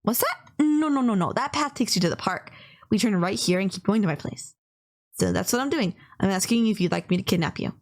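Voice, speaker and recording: A woman's voice: medium pitch, animated, a little fast, close to an everyday voice. A woman playing a polite, gushing young female kidnapper in an intimate anime-style roleplay, earnest, apologetic and rambling, obsessively in love. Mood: flustered.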